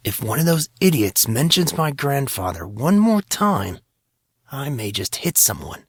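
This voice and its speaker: A man's voice: medium pitch, sing-song, measured pace, husky, no echo, studio quality, voice pushed up. A man voicing an earnest, wry young rich man in a narrated fantasy story. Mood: muttered irritation.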